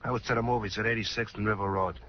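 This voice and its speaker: Low, surly radio tough guy played by a man. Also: fairly even intonation, very fast, a bit bright, natural voice. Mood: defensive. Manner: slangy, surly, sarcastic. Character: sullen, grumbling male tough, a murder suspect. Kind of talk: 1940s radio crime drama acting. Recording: dull, noisy 1940s radio recording.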